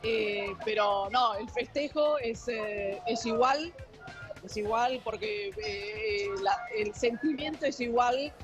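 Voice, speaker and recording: A woman's voice: fairly high pitch, slow, soft background music. A woman from Italy, raised elsewhere, speaking as a guest in a live TV news interview, hesitant and full of fillers and restarts.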